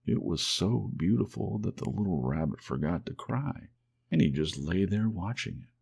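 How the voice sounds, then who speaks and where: A man softly and expressively narrating a children's storybook as an ASMR audiobook. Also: low-pitched, expressive, normal speed, gravelly, slightly rough.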